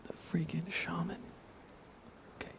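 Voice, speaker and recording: A man's voice: on the high side, flat delivery, unhurried, gravelly, a bit bright, slight background noise. A man giving murmured ASMR commentary while livestreaming a video game, often repeating his phrases in playful mock grumbling. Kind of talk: whispered ASMR gaming commentary.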